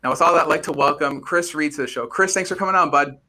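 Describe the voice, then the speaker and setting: A man's voice: fairly high pitch, fast, bright, forceful tone. A man hosting a podcast, upbeat and chatty, often stumbling and repeating words as he asks questions. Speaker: podcast host.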